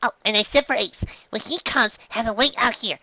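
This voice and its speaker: A woman voicing a small, earnest young male reindeer doctor from a cartoon, squeaky and full of instructions. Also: a little fast, pushed and bright, no echo, lo-fi sound. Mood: earnest, commanding.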